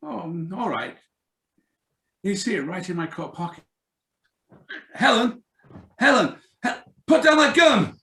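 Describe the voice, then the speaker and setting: Man acting smug blackmailer, lively swooping delivery, sharp tone, radio thriller, roomy sound. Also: high-pitched, measured pace, long pauses, heavily acted. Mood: wary, then panicked. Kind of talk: live reading of a 1940s radio thriller. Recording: roomy home video call.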